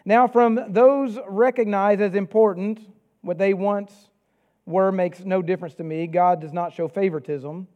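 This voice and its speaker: A man with a Southern US accent preaching a sermon, reading scripture and praying in an earnest, measured way. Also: high voice, fairly even intonation, darkish tone, highs cut off.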